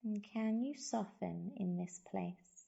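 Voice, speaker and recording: Female meditation guide, soothing and very unhurried, creaky low-key delivery, slightly muffled lo-fi recording. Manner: soothing, unhurried, gentle instruction with long pauses. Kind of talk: guided body scan meditation.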